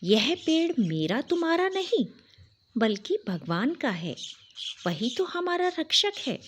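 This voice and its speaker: A woman voicing a lone, frightened female myna bird who pleads earnestly and gently for shelter in a storybook tale. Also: high-pitched, sing-song, slow pace, slight background noise, pitched above the performer's own voice, full character voice.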